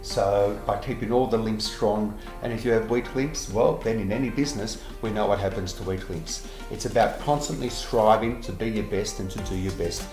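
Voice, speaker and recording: A man's voice: lowish voice, normal speed, few pauses, a little mellow. A middle-aged man, a dentist, answering interview questions earnestly and often repeating words as he starts a sentence. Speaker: implant dentist. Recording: echoey room with music behind.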